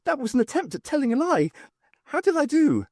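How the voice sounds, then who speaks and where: A performer voicing an earnest, wistful male alien with a high-pitched voice and a literal, emphatic manner in an audiobook. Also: big pitch swings, fairly quick, darkish tone, no echo, clean studio sound. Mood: eager.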